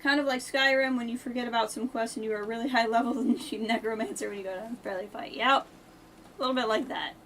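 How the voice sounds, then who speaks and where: A woman's voice: on the high side, fairly even intonation, fast talker, fairly bright tone, slight background noise, poor audio. A woman giving casual, chatty live gameplay commentary, thinking aloud and talking to viewers as she plays. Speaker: game streamer.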